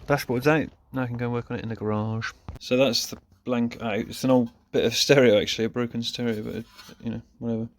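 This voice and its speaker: A man's voice: mid-range voice, fairly even intonation, fast, few pauses. A man talking casually and off the cuff as he presents a hands-on DIY demonstration, informal and self-deprecating. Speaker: car hobbyist presenting a DIY video.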